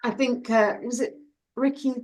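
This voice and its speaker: A woman acting as host in an informal one-to-one conversation. Her voice sits at a middle pitch, and she talks in brief, affirming phrases with an encouraging, supportive manner. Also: fairly even intonation, unhurried. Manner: encouraging, affirming, short interjections. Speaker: host, listening interviewer.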